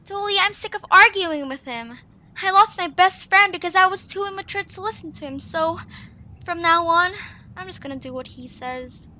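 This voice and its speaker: Very high, bright young creature, acted by a woman. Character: stubborn, guilt-ridden young female Zoombini creature searching for her friend. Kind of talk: audio drama character acting.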